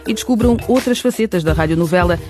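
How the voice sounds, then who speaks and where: A woman's voice: on the low side, fast talker. A woman reading scripted narration as the narrator of a radio drama. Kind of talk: scripted narration over music. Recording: music bed under the voice. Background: hip hop music.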